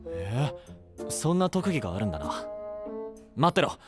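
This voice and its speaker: A brash young knight candidate in an anime-style fantasy audio drama. He grumbles in rough, exasperated slang that swoops dramatically, yet has a kind streak underneath. Prominent music plays behind him. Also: mid-range voice, big pitch swings, measured pace. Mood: grumbling, concerned.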